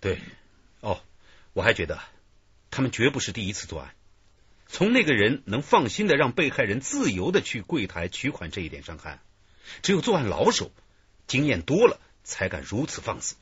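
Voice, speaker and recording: Husky, very animated male detective. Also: medium pitch, moderate pace, heavily acted. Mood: thoughtful. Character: thoughtful, modest male detective reasoning about suspects. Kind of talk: radio drama acting. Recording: dull lo-fi radio drama recording.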